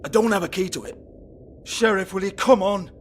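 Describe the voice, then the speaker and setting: A man's voice: high-pitched, brisk, husky. A man playing a foul-mouthed, irritable British strip club owner in a video game, sarcastic and exasperated as he swears. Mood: exasperated, pleading.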